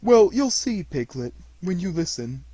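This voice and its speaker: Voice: mid-range voice, big pitch swings, a little slow, mellow, husky, no echo, pitched above the performer's own voice. A storyteller voicing a cheerful, muddled young bear of little brain, happy and earnest in a slightly dreamy way. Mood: explaining. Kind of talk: storybook reading.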